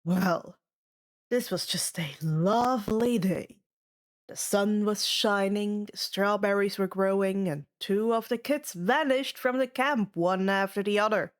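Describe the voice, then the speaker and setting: A woman playing a jaded, sardonic male god in an audiobook, with a deadpan drawl. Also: medium pitch, measured pace, close to an everyday voice. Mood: deadpan, weary.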